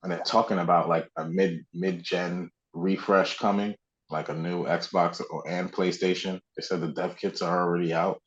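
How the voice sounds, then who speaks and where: A man's voice: low voice, flat delivery, moderate pace, lo-fi sound. A man co-hosting a podcast, chatting informally and conversationally about video games. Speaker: podcast co-host. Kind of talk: casual video game discussion.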